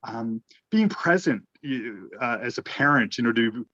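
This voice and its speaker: Guy thinking out loud, earnest, keeps restarting, dry dull lo-fi audio. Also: mid-range voice, expressive, a little slow, no echo. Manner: searching, restarts phrases, earnest. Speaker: guest in a group conversation. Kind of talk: reflective casual conversation.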